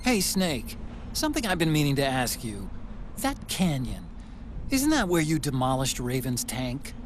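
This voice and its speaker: A man's voice: mid-range voice, unhurried. An actor playing a chatty, nerdy male engineer and sidekick in a video game cutscene, talkative and earnest. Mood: curious. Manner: talkative, earnest, gets excitable. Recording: game audio with clear background noise.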